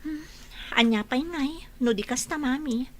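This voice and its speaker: A woman's voice: high-pitched, a little slow. An actress playing a village mother of a young girl in a radio drama. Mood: patient.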